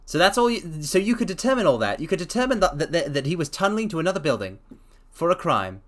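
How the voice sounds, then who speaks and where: A man's voice: a little high, sing-song, fast. A man streaming a dramatic story reading, breaking off with enthusiastic, chatty asides to viewers.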